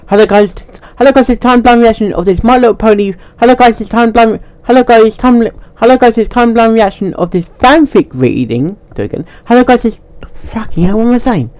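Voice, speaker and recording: A man hosting a casual, unscripted reaction video, speaking haltingly and often stumbling, repeating and restarting his phrases. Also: high-pitched, darkish tone, dry recording.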